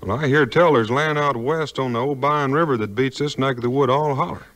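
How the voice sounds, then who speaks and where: A man's voice: medium pitch, brisk, a bit bright, natural voice. An actor playing an easygoing, honest frontiersman with a folksy Southern US drawl in a 1950s record drama. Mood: musing. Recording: 1950s vinyl record, music behind.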